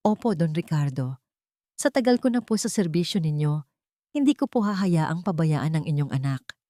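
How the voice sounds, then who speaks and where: A woman voicing an elderly female nurse in story dialogue, respectful, fearful and halting. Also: sits low, expressive, warm tone, no room sound, studio quality. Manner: deferential, halting.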